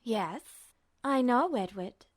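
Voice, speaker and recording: A woman performs an inhuman, all-powerful entity from a fantasy audio story. It drawls with icy condescension and calm menace, taking its time over each taunt. The sound is dry and close. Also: on the high side, slow, no echo. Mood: mocking, disappointed. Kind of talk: audio fiction reading.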